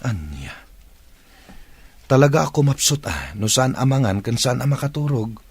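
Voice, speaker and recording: A man's voice: mid-range voice, expressive, normal speed, breathy edge, dry recording. A man with an Ilocano accent playing an earnest, devoted young suitor and dutiful son in a Filipino radio drama. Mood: worried.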